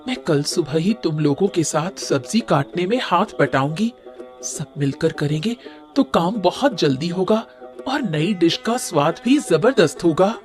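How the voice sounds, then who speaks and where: A woman's voice: lowish voice, animated, unhurried, a little mellow. A woman playing a helpful, determined village cook in a Hindi cartoon story, earnest and encouraging. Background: background music.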